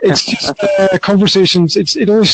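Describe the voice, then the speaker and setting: A man's voice: sits high, fairly quick, gravelly. A middle-aged man chatting casually as a podcast host, rambling with restarts and acted-out quotes, talking into a phone on his balcony. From Scotland. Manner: relaxed, rambling, with frequent restarts and quoted asides. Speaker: podcast host and business coach. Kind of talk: casual conversation over an app. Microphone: mobile phone.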